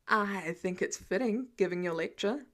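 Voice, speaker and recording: A woman's voice: mid-range voice, moderate pace, a bit bright, pitched below the performer's own voice, lightly acted. A woman voicing a headstrong, protective teenage boy with a dry, teasing manner in a story reading. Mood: smug. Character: Harry, protective, smug, teasing teenage boy best friend.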